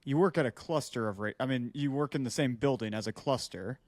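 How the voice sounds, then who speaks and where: A man's voice: medium pitch, a little fast, a little mellow, no room sound. American man bantering, very dry sound. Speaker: podcast co-host. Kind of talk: casual banter.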